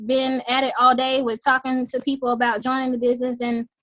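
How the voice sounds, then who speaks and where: A woman hosting a team sales call in an upbeat pitch, her voice a little raspy from losing it, with lots of "um" fillers. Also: completely flat delivery, fairly quick, fairly bright tone, no room sound, low-quality recording. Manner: enthusiastic, frequent "um" fillers.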